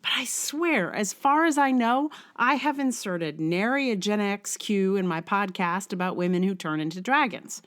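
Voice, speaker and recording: A woman's voice: mid-range voice, lively intonation, normal speed, hardly any pauses, fairly bright tone. A middle-aged woman with a congested, cold-affected voice, hosting a podcast in a wry, conversational way. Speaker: podcast host. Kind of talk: casual intro, then reading own blog essay aloud.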